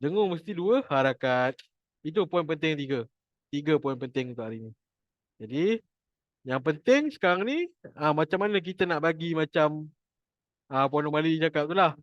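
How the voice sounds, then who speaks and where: A man's voice: fairly high pitch, animated, moderate pace, long pauses, no room sound, highs cut off. A man with a Malaysian accent teaching an informal religious class, chatty and jokey, repeating his points for emphasis. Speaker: Quran recitation teacher.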